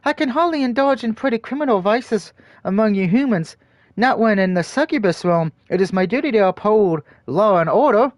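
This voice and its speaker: A man's voice: sits high, expressive, normal speed, no room sound, studio quality. A man voicing a prim, formal female succubus queen from a visual novel, with stiff, old-fashioned phrasing. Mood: haughty.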